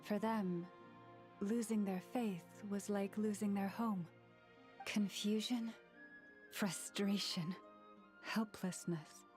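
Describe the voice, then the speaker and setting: An actress voicing a calm, solemn priestess with antlers, speaking formally in game dialogue. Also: female voice, mid-range voice, slow, long pauses, lightly acted. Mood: gentle. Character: serene antlered female priestess, gentle and solemn. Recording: game audio with faint music behind.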